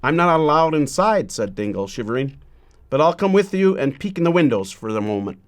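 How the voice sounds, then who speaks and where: A man reading a children's story aloud in a measured, deliberate way, pausing often between phrases. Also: on the high side, very animated.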